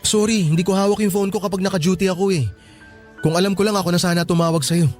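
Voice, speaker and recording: An actor playing a calm, reassuring young male hospital worker in a radio drama, speaking soothingly and earnestly. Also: high voice, fast, soft background music, close to an everyday voice. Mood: calm, serious.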